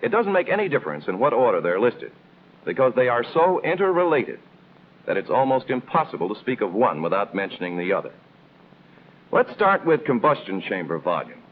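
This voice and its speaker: A man's voice: medium pitch, wildly swooping pitch, a little fast. Tinny 1950s American male training-film narrator. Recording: tinny band-limited old film soundtrack, noisy.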